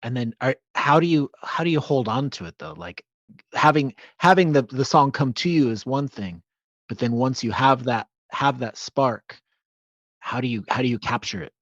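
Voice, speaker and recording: A man's voice: mid-range voice, animated, normal speed, a bit bright, no room sound, lo-fi sound. A man co-hosting a podcast, asking about songwriting in an encouraging way. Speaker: podcast co-host. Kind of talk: interview questions.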